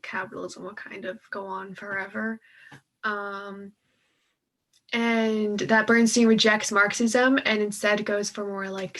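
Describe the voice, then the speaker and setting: A woman's voice: mid-range voice, a bit bright. A woman co-hosting a casual book discussion, speaking in an informal, colloquial way. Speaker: co-host of a political book read-along. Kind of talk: casual chat about a book.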